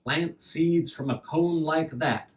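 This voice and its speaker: An old wandering fiddler from a fairy tale, as a male storyteller plays him, kindly but mysterious. He speaks slowly and with measured weight, like a keeper of riddles. The voice sits some distance from the microphone. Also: on the high side. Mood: persuasive, mysterious. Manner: measured, cryptic, addresses the boy as son.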